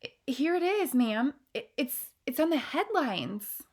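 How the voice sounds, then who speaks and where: A woman's voice: high voice, sing-song, a little slow, voice pushed up. A woman reading a storybook aloud, voicing a nervous maid who stammers and defers. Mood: nervous, deferential.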